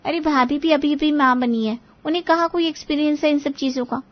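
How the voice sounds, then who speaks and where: Fussy, demanding young married daughter acted by a woman, high-pitched, insistent, family story, dull audio. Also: a little fast, lightly acted. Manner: insistent, complaining. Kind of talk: Hindi family story dramatization.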